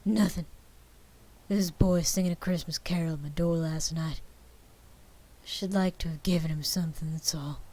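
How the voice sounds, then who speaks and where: A woman voicing an old miserly man overcome by childhood memories, with a Southern US accent, loud and breathy, slipping into whispers. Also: low-pitched, moderate pace, pauses often, poor audio. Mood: wistful. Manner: excited, breaking into whispers. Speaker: reader.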